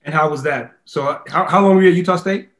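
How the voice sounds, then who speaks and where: A man hosts a casual interview. His voice is a little higher than average for a man, and he tends to restart and reword his questions as he puts them to the guest. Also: fast talker, fairly bright tone. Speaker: podcast host, interviewer.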